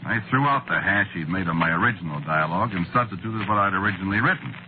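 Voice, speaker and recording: An actor playing a weary, sardonic American scriptwriter who narrates an old-time radio horror drama in a wry, colloquial way. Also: male voice, low-pitched, animated, fast. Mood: exasperated, wry. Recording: muffled, noisy old radio recording.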